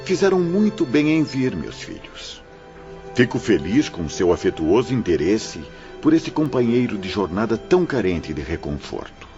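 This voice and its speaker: An actor playing a kindly, grave priest in an audio drama, speaking in a measured, paternal, sermonizing way. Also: male voice, fairly low pitch, sing-song, darkish tone, faint music behind. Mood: fatherly, pleased. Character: kindly, grave priest guiding troubled souls. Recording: muffled audio with clear background noise.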